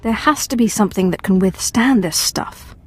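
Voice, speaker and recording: A woman's voice: medium pitch, normal speed, faint music behind, natural voice. A woman playing a cool, dry-witted alien Sith apprentice in a video game, with controlled delivery. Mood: determined, dry. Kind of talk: video game cutscene acting.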